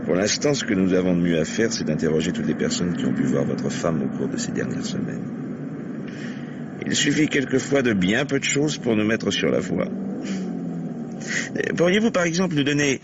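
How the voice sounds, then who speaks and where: A dull, noisy old radio-play recording carries a police lieutenant with a low, widely swinging voice. He is methodical and dogged, putting his questions deliberately and then pressing with emphasis. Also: sing-song. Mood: deliberate, insistent. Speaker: police investigator. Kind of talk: French radio mystery play acting.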